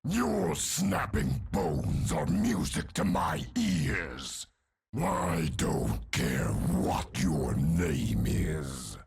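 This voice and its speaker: A hulking undead brute from a video game, slow and menacing, with a very gravelly, husky growl. He is enraged and bloodthirsty, and his delivery swings wildly from low threats to roars. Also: heavily acted. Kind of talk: video game character voice lines.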